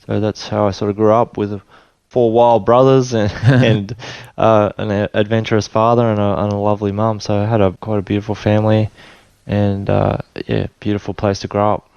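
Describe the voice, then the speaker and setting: A relaxed Australian man in middle age, his low voice staying level and smooth as he rambles through his story. He circles back and restarts often, and the sound is dry and a little dull. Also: moderate pace, no echo. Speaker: interview guest. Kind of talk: casual storytelling interview.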